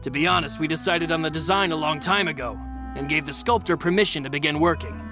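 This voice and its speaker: An actor playing a loyal, courteous clan member in a video game, speaking formally and sincerely. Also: male voice, a little high, fast talker, close to an everyday voice. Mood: proud, explaining. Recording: music clearly behind the voice.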